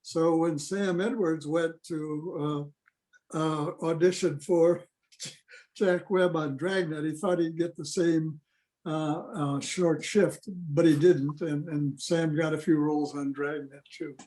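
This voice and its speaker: A man's voice: a little high, fairly even intonation, takes it slowly, mellow. A man presenting radio history informally on a video call, hesitant and repeating words as he goes. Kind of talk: informal anecdotal club discussion. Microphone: video call microphone.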